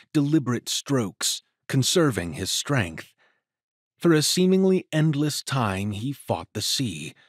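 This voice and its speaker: A man narrating a dramatic story from a script in a measured, literary and expressive manner. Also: mid-range voice, no room sound, studio quality.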